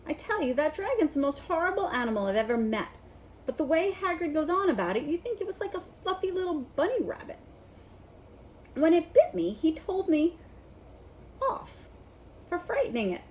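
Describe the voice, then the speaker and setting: A woman's voice: very high voice, sing-song, normal speed, darkish tone, a little hiss or hum, pitched above the performer's own voice, low-quality recording. A woman reading a storybook as an exasperated schoolboy, indignant and grumbling. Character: Ron, exasperated, complaining schoolboy.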